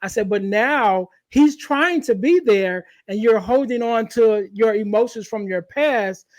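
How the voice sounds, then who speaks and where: A man with a high-pitched voice hosts a conversational podcast. He speaks emphatically and often checks that he is being followed. The sound is dry, with very little room in it. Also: a little slow, a little mellow, no echo. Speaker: podcast host.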